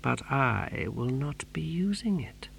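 Sinister male inquisitor, played by a narrator: low-volume, slow, measured, icily polite and pious storybook villain. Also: gravelly, no room sound. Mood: quiet menace. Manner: hushed, measured, menacingly courteous.

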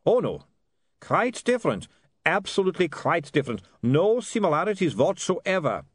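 A man's voice: fairly high pitch, big pitch swings, normal speed, no room sound, pitched above the performer's own voice. A man doing a cheerful, breezy vampire. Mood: teasing. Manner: breezy, teasing, lightly sardonic. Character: cheerful male vampire, chatty and unflappable.

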